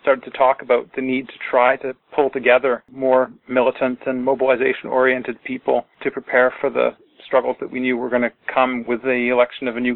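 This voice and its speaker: A man in his forties, a socialist organizer giving a deliberate political analysis in an interview over a call. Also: mid-range voice, gravelly. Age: 40s. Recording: tinny phone line.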